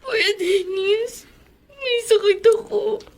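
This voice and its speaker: Wounded ailing daughter, Filipino woman, high voice, plaintive, radio drama. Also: slow, creaky, a crowd in the background, full character voice. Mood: tearful. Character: troubled, wounded adult daughter, gravely ill. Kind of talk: Tagalog radio drama acting.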